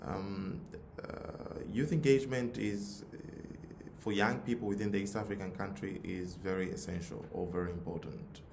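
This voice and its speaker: A man's voice: sits low, fairly even intonation, moderate pace, gravelly, darkish tone. A man with a Tanzanian accent giving an earnest motivational talk, repeating phrases for emphasis. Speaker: youth nonprofit leader, forum speaker. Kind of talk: motivational talk with personal story. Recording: roomy echo, audible background noise.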